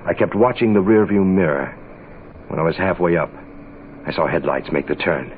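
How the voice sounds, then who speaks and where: An actor playing a terse private investigator, clipped and deadpan, in the style of 1960s radio noir. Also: male voice, low voice, moderate pace. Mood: tense, deadpan. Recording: hissy, phone-like vintage radio recording.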